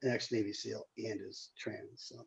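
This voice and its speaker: A screenwriter hosting casually, talking in a hesitant, rambling way with a husky, gravelly voice over a dull call recording. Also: medium pitch, level tone, normal speed, slight background noise. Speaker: screenwriter and table read host. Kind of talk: casual chat.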